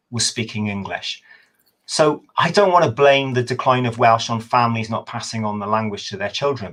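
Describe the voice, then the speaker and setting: A man, a history professor, giving a measured, thoughtful explanation over a video call, sometimes correcting himself mid-sentence. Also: on the low side, fairly bright tone. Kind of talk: explanatory talk in a webinar.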